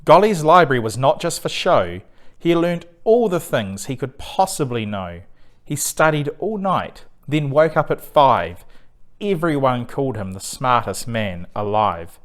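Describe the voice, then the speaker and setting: A male storyteller reads rhyming bedtime verse with an expressive, theatrical delivery. His pitch moves up and down in a lively way, and he takes his time over the lines at an unhurried pace. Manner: animated, deliberate, theatrical read-aloud delivery. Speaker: storyteller reading a picture book.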